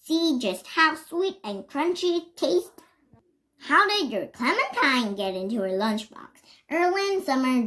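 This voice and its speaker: High-pitched young reader, animated and unhurried. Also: rough recording. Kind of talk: reading a children's picture book aloud.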